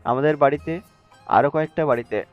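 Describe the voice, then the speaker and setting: A man's voice: on the high side, fairly quick, natural voice. A man as an insistent father, slightly muffled. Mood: persuasive. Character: insistent, irritable father pushing his son to marry. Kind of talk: audio story acting. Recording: dull muffled audio with music.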